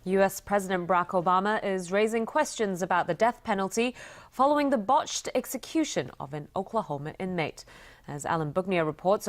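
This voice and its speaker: Female news anchor with mid-range voice. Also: mid-range voice. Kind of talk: scripted news reading.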